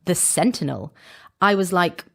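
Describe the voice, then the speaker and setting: A woman's voice: fairly low pitch, animated, a little slow, creaky, a bit bright, full character voice. A woman playing a breezy, wry time-travelling companion, narrating an audio drama recap with casual, chatty asides.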